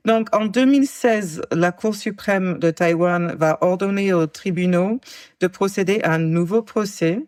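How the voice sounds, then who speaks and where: A woman's voice: fairly low pitch, unhurried, darkish tone, no echo, clean studio sound. A woman in her forties casually recounting a case to a co-host, with frequent fillers, restarts and wry asides. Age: 40s. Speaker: true-crime podcast co-host telling the case. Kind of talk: casual storytelling chat.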